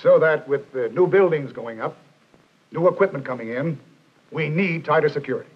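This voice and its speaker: A man in his fifties playing a brisk, agreeable army general with a husky voice, speaking in short phrases on an old film soundtrack. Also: fairly high pitch, highs cut off. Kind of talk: 1960s training film acting. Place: meeting room, indoors.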